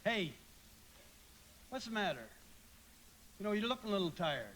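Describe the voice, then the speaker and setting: A man's voice: sits high, sing-song, moderate pace, fairly bright tone, a little hiss or hum, low-quality recording. An actor playing a restless, affable young small-town man, folksy and boyish, in a live radio drama. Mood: eager, restless. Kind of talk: live radio play acting.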